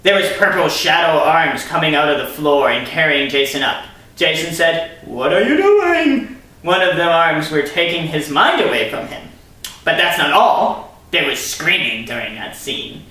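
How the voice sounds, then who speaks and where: Bright-voiced sarcastic man in an echoey room. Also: fairly high pitch, normal speed. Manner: sarcastic, theatrical. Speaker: storyteller and commentator. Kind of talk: dramatic story reading with mocking asides. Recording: very echoey room, distant mic.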